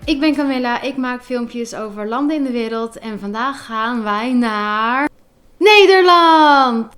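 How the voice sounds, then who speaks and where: A woman's voice: a little high, expressive, measured pace, a bit bright, soft background music. A young woman, narrating a geography vlog in a cheerful, playful, chatty way from a living-room sofa. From Netherlands. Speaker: geography vlogger. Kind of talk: upbeat video narration. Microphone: handheld recorder near camera.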